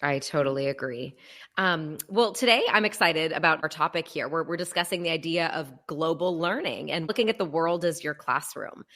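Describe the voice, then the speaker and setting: Woman hosting a podcast, kinda low voice, lots of mm-hmms and yeahs. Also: moderate pace, a bit bright. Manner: encouraging, lots of backchannel affirmations. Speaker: podcast host. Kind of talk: interview hosting.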